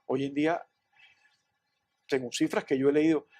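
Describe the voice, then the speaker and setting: A man with a Venezuelan accent answering interview questions in an earnest, halting way, often repeating words. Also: fairly high pitch, flat delivery, a little mellow. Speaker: interview guest, businessman.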